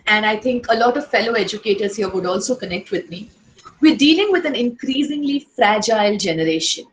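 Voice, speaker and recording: A woman educator with a loud voice, giving an earnest lecture to fellow teachers and posing rhetorical questions. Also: on the high side. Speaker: educator giving a workshop talk. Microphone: online session. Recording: echoey room.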